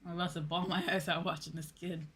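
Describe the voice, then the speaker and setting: A woman's voice: lowish voice, flat delivery, quick pace, fairly bright tone, slightly rough. A woman streaming a video game with casual live commentary, playful, gushing and teasing. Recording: game music behind.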